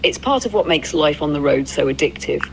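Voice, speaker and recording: An androgynous AI companion voice with a noticeably gravelly creak, speaking at a middling pitch. The delivery is fluent, reflective and agreeable, like conversational storytelling, with clear noise behind it. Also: lively intonation, brisk, low-quality recording. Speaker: AI companion playing a road-trip documentarian.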